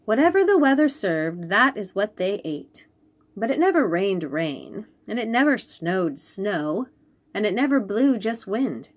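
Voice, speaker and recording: Animated woman reading aloud to young children. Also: medium pitch. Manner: expressive, pauses to point out pictures and ask questions. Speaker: children's read-aloud host.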